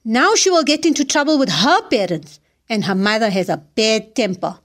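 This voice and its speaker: A firm, calm middle-aged mother laying down the law, played by a woman. Her voice is high and moves a lot, stern but steady. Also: moderate pace, natural voice. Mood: reproachful. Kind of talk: audio drama acting.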